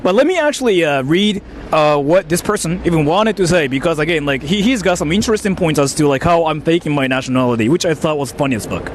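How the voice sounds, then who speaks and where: A man's voice: on the high side, lively intonation, quick pace, a little hiss or hum. A man livestreaming a casual rant, incredulous and exclamatory, swearing often as he reacts to criticism. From Japan. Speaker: livestreamer and YouTuber.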